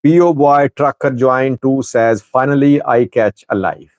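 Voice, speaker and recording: A man whose first language is Urdu hosts a live-stream news chat, greeting viewers and pausing often with fillers. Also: mid-range voice, darkish tone, no echo. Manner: unhurried, halting with many fillers. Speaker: news channel host.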